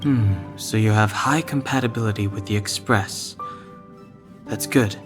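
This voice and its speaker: A man playing a reserved, level-headed young crew archivist in a video game, calm and matter-of-fact. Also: fairly low pitch, unhurried, over music, lightly acted. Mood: calm, considerate. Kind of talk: game cutscene dialogue.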